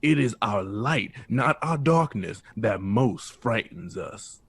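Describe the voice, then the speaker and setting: An actor playing a wise, blunt old man with a deliberate, oratorical manner in an audio drama. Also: fairly low pitch, lively intonation, vocal fry, slightly rough, exaggerated voice. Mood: earnest counsel. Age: older.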